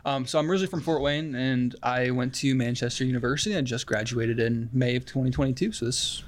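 A man's voice: mid-range voice, quick pace. Young teacher guy in his twenties, guest on a podcast, chill and modest, average voice. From Indiana. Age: 20s. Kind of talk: casual interview.

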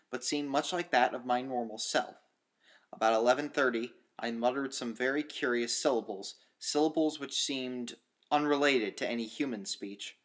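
Man reading classic horror fiction, mid-pitched. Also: fairly bright tone. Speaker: audiobook narrator. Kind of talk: scripted narration of a classic horror story.

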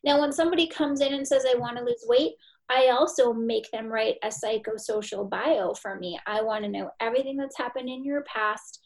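A woman in her forties speaks with a gravelly, slightly high voice. She talks with quick, emphatic energy, telling stories from her work as a therapist and nutritionist in an interview. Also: steady tone. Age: 40s. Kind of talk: podcast interview.

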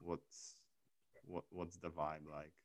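A man's voice: very deep voice, level tone, slow, no echo. A man hosting a casual chat, asking curious questions with frequent stammered restarts. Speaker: host.

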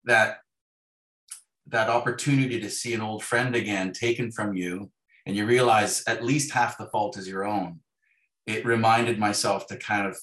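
A middle-aged Canadian man talking reflectively and casually as an interview guest, halting and searching for his words. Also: on the low side, steady tone, fairly bright tone. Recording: roomy, echoey voice pickup.

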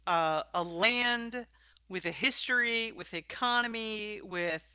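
A woman's voice: medium pitch, bright, forceful tone, clear, resonant tone, no echo, lo-fi sound. A woman hosting a conversational interview about tabletop games, speaking in an emphatic, opinionated way. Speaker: podcast host and interviewer.

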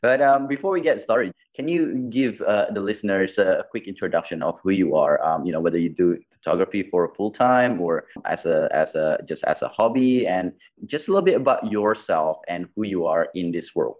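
A man's voice: deep voice, animated, normal speed, a little mellow, dull audio. A man hosting a podcast interview over a video call, friendly and hesitant, with many fillers. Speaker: podcast host. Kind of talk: conversational interview. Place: Bali.

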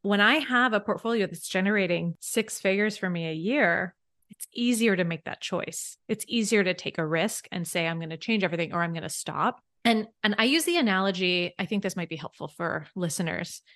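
A woman's voice: medium pitch, fairly quick, fairly bright tone, no echo, clean studio sound. A woman financial coach, a podcast guest, who explains things eagerly and step by step with worked examples. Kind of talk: casual podcast interview.

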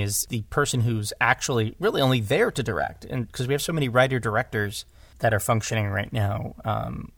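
A man's voice: mid-range voice, vocal fry, fairly bright tone, no room sound. A man in a casual discussion as a podcast guest, thinking aloud and often repeating his words. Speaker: podcast guest, audio drama director.

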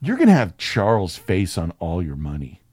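A man's voice: sits low, wildly swooping pitch, moderate pace, a little mellow, no echo. Profane, irreverent man chatting, very dry sound. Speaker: podcast co-host. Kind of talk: casual comedic chat.

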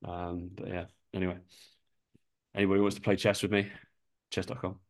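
A man's voice: deep voice, level tone, brisk. A man co-hosting a podcast, chatting casually and swearing freely, with a slightly nasal voice from a lingering cold. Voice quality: sweary, laughs often. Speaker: podcast co-host. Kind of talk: casual chat.

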